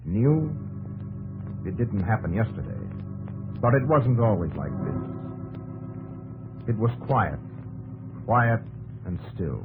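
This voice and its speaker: A man narrating a 1950s radio history drama in a solemn, declamatory American voice, in measured phrases. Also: fairly low pitch, expressive, phone-quality sound. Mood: somber. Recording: old radio broadcast with music behind.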